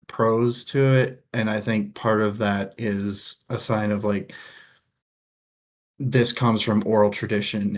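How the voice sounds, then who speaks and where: A man with a fairly low voice talks as co-host of a book discussion show. His delivery is casual and jokey, with a playful touch of drama. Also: a bit bright.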